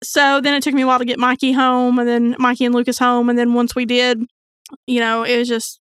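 A woman's voice: fairly high pitch, level tone, brisk, fairly bright tone, smooth, no room sound, studio quality. A woman co-hosting a podcast, chatting casually and informally with wry banter. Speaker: podcast co-host. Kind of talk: casual true crime podcast chat.

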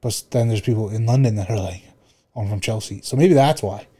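A man's voice: lowish voice, fast, crowd noise. A young man co-hosting a podcast, chatting casually in a slangy, laid-back way with plenty of swearing. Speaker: podcast co-host. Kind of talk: casual chat.

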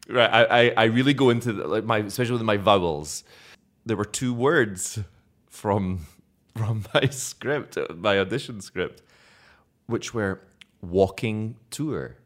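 A young Scottish man, an actor being interviewed, chatting casually and self-deprecatingly, laughing often. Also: on the low side. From Scotland.

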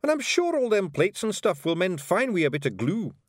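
A man's voice: sits high, very animated, brisk, no echo, studio quality, pitched above the performer's own voice. A man voicing a boisterous, cheerful little fairy clansman with a Scottish accent in a storybook reading. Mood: cheerful confidence. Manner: exclamatory, brash.

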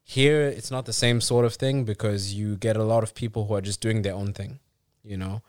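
A man from Africa with a lowish voice talks through his point in a casual podcast chat. He restarts his sentences and drops in "you know" often. The sound is close and dry, with no room around it. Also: steady tone, fairly quick, darkish tone, dry recording. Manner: explains at length with frequent restarts and "you know". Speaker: podcast co-host.

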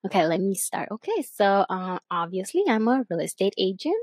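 A woman's voice: medium pitch, sing-song, normal speed, a little mellow, husky, no room sound, clean studio sound. A woman from Bulgaria with a Bulgarian accent, co-hosting a casual chat in an upbeat, enthusiastic way. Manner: bubbly, enthusiastic, laughs often. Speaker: podcast co-host, real estate agent.

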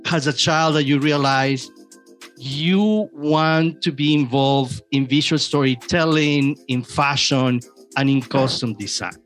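A man hosting his podcast from his basement, talking in a lively, chatty way with small restarts. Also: mid-range voice, slow, faint music behind. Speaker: podcast host. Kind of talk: enthusiastic podcast introduction and interview. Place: home basement.

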